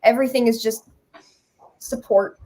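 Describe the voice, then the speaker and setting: Female interview guest, slightly raised pitch, earnest, casual conversational delivery. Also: fairly even intonation, moderate pace, dark tone. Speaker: musical creator, interview guest. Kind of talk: casual chat.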